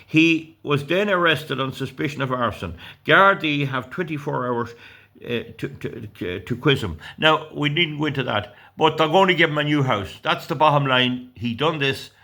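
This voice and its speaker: A man's voice: on the high side, moderate pace, bright, forceful tone. An Irish man in his sixties who reads out news items and rants about them indignantly, rambling and tripping over his words. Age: 60s. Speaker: political commentator. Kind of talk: reading out news and ranting.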